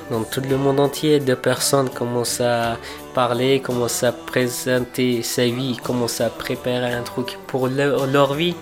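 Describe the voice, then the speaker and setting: A man in his twenties from Afghanistan, a cook, telling his life story in a reflective, unhurried way, pausing and restarting as he searches for words. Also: medium pitch. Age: 20s. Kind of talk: personal storytelling for a documentary portrait.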